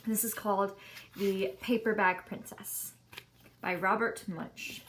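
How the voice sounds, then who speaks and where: A woman reading a children's story aloud in an expressive, emphatic way. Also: medium pitch, slow pace, slight background noise.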